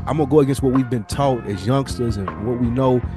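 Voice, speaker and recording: A man's voice: medium pitch, quick pace, music in the background. A man co-hosting a podcast in casual conversation, speaking emphatically with slang and often rephrasing his points. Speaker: podcast co-host.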